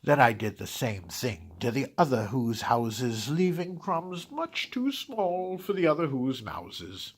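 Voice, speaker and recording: A man's voice: mid-range voice, very animated, takes it slowly, hardly any pauses, breathy edge, heavily acted. A man voicing a grumpy, scheming Christmas-hating creature in a dramatic storybook reading, sighing and dropping into whispers. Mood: sly. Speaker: reader.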